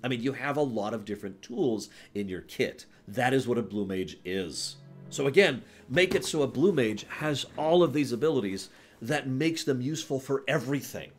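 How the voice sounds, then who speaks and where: A man's voice: medium pitch, animated, normal speed, soft background music. A man hosting a livestream, chatting casually about video games in an emphatic, explanatory way, often checking that listeners follow. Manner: emphatic, explanatory, checks understanding with short tag questions. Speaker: livestream host.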